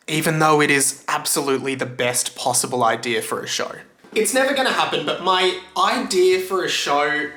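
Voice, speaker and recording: Bright, higher-pitched Australian male YouTuber. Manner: enthusiastic, conversational. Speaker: YouTube host. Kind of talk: casual pop culture commentary to camera. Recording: roomy echo, music underneath.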